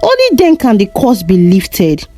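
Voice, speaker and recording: A woman's voice: mid-range voice, wildly swooping pitch, unhurried, mellow. A woman voicing an elderly male village leader in a folktale, giving counsel in a grave manner. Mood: grave, resolute.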